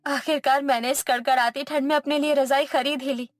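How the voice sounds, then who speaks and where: A woman's voice: sits high, fairly even intonation, fairly quick, faint music behind, close to an everyday voice. A woman playing a meek, suffering young daughter-in-law in a Hindi cartoon story, plaintive and earnest.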